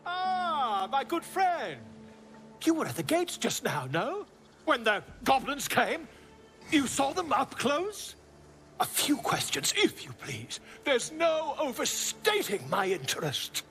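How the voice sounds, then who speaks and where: A man's voice: very high-pitched, wildly swooping pitch, takes it slowly, fairly bright tone, faint music behind, full character voice. An actor playing a nosy, theatrical male chronicler in a fantasy game, with pompous, florid, eager delivery. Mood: eager, fussy.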